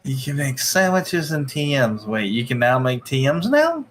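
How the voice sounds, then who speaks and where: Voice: mid-range voice, very animated, normal speed, a little hiss or hum. A livestream host chatting casually, rambling along in short offhand remarks and reactions.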